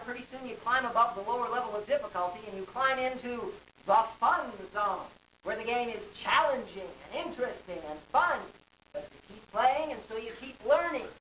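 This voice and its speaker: Man, theatrical lecturer, emphatic deliberate phrasing, strong room echo, clear background hiss. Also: very high voice, fairly bright tone, recorded from a distance. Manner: dramatic, emphatic, deliberate phrasing with rhetorical questions. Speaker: conference speaker, game designer. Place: carpeted hotel conference room. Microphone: distant camera microphone. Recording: hissy, echoey camcorder recording from afar.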